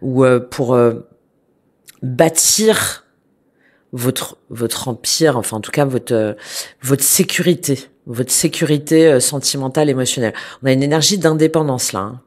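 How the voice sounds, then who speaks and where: A woman giving a spoken tarot reading to viewers, congested from a cold, hesitant with many fillers and restarts but gentle and reassuring. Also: rumbling low, measured pace. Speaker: tarot reader.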